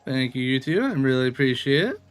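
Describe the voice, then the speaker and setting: A man's voice: medium pitch, very animated, fairly quick, clean tone, soft background music. A man giving casual, playful commentary as he streams a video game, often exclaiming and talking to the characters.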